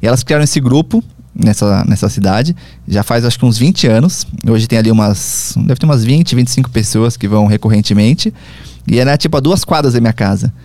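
A man from Brazil, a podcast guest, talking in a reflective, halting way with many fillers and restarts. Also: medium pitch, warm tone, no echo. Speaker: podcast guest, travel platform founder. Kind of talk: casual conversational interview.

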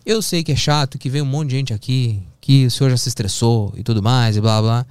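A man's voice: mid-range voice, brisk, a little mellow, clear, resonant tone, dry recording. A man with a Porto Alegre accent from southern Brazil, telling an anecdote casually and expressively, with slang and acted-out dialogue. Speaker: podcast guest, television producer and director.

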